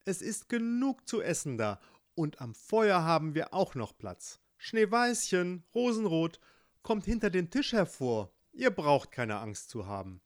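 A caring fairy-tale mother , put on by a man, speaks in a raised, lively voice, reassuring her children. She sounds kind and motherly. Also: a little high, measured pace, pitched above the performer's own voice. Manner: calling, reassuring. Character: caring, warm-hearted mother of two girls.

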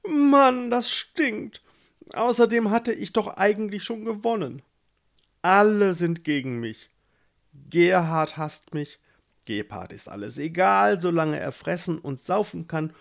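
A man's voice: sits high, takes it slowly, creaky, voice pushed up, heavily acted. A man voicing a young, unlucky prince who whines and pities himself. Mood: whiny. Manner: complaining, excitable. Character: young unlucky prince, whiny and self-pitying.

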